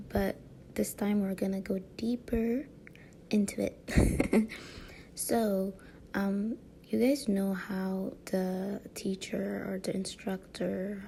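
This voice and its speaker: Woman vlogger, light French accent, gravelly dark voice, rambling casual talk, faint room hiss. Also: medium pitch. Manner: rambling, many fillers and tag questions; frequent soft laughs. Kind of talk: casual monologue to camera.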